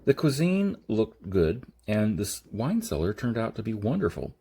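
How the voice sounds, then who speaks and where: A man reading a science fiction novel aloud as scripted narration, with a halting delivery that sometimes stumbles. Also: on the low side, sing-song, mellow, husky. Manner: halting, stumbles and rereads phrases. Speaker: audiobook reader.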